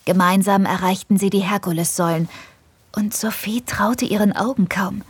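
A woman's voice: medium pitch, fairly even intonation, moderate pace, husky, no echo. A woman narrating an audio play as a scripted storyteller.

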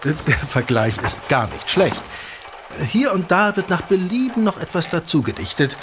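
A man's voice: a little high, very animated, normal speed, noisy background. A man playing a bookish, pedantic teacher father in an audio drama, with a precise, lecturing manner. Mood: instructive.

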